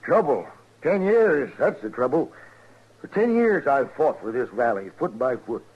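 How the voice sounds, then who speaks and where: A man's voice: mid-range voice, very animated, measured pace, husky. A man playing a hot-tempered, domineering old rancher and father in an old-time radio western, blustering and threatening. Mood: indignant. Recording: muffled, noisy old radio drama recording.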